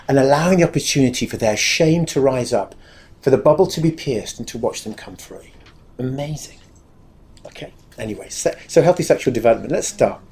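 A man's voice: mid-range voice, a little mellow. An English man with a big, carrying voice, giving a chatty, self-deprecating talk to a live audience and addressing the room directly.

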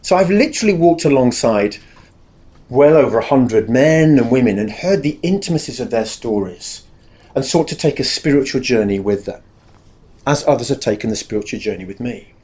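A man's voice: medium pitch, lively intonation, normal speed, warm tone. English man with a big, carrying voice. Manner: chatty, self-deprecating, addresses the room directly. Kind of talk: live talk to an audience.

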